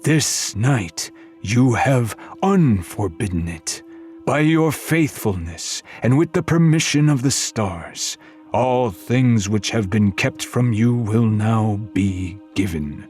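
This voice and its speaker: A male narrator acts out a solemn high priest for a fantasy audiobook. He speaks slowly in a low register, with grave, ceremonial weight. Faint music plays behind him. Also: expressive, darkish tone. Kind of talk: audiobook character voice.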